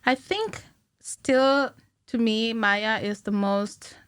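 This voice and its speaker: Woman explaining her craft, halting delivery. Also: on the high side, a bit bright, smooth, no echo, clean studio sound. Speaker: guest, cinematic animator. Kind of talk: casual podcast interview, explaining technical work. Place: small curtained podcast studio, indoors. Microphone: boom-arm studio mic with headphones.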